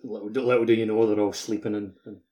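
A man's voice: on the low side, little pitch movement, fast talker, dark tone. A man chatting casually as a co-host on a podcast. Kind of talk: casual chat.

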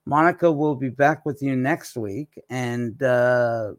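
A man's voice: mid-range voice, slow pace, no echo, studio quality. A man hosting a radio programme, talking in a casual, wry and self-deprecating way. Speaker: radio show host. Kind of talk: casual scripted sign-off.

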